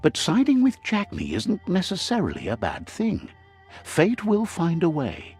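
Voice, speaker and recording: A middle-aged man narrating a game in a measured, wise storytelling manner. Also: a little high, wildly swooping pitch, a little mellow, breathy edge, faint music behind.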